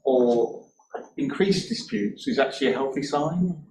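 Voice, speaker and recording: A man, an experienced reviewing officer, explaining his view to a council committee in a deliberate, emphatic way. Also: mid-range voice, crowd noise. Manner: deliberate, emphatic, with repeated words. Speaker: independent reviewing officer manager. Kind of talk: council committee meeting answers. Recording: distant mic in an echoey room, faint noise.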